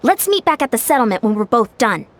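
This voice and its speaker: A woman's voice: a little high, sing-song, fast. A woman voicing a friendly fitness coach who teases playfully and explains sensibly, in video game dialogue. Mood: earnest. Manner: playful teasing, then earnest explaining. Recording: game soundtrack music clearly behind.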